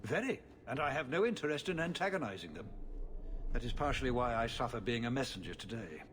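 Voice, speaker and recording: Man playing world-weary city lord, middle-aged, formal, measured, video game drama, expressive intonation. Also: mid-range voice, background noise, lightly acted. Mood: wry, resigned. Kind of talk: video game cutscene acting.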